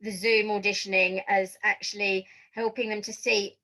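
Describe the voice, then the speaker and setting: A woman's voice: mid-range voice, flat delivery, moderate pace, sharp tone, poor audio. A British woman interviewing a guest for a podcast in a conversational style.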